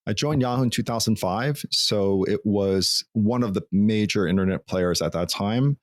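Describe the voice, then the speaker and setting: A man in his forties with a New York accent, a guest recounting his career in a thoughtful, measured way in an office interview. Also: low-pitched, no echo, clean studio sound. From Long Island, New York, US. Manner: thoughtful, measured, occasional restarts. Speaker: guest, former CFO. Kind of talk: conversational interview.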